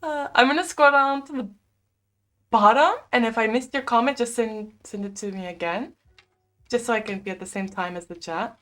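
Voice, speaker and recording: A young woman in her twenties chatting casually on a home livestream, reading viewer comments, full of "like" fillers and quick laughs. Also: on the high side, a bit bright, soft background music. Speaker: livestream host. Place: small bedroom at home.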